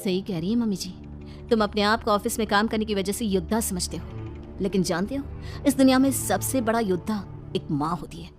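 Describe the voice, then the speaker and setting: A woman voicing a young, bold, cheerful daughter-in-law obsessed with films, playful and theatrical in an animated story. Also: mid-range voice, brisk, music in the background, lightly acted. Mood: swaggering. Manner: playful, theatrical, delivers dramatic movie-style lines. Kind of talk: animated moral story dialogue.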